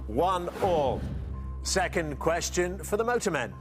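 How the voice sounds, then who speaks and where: Man, quiz show host, studio presenting, brisk, upbeat, slightly higher voice. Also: sing-song, faint music behind. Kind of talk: studio game show hosting.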